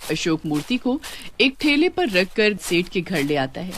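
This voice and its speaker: A woman's voice: on the low side, sing-song, unhurried, fairly bright tone, slight background noise. A woman narrating a scripted story as its storyteller.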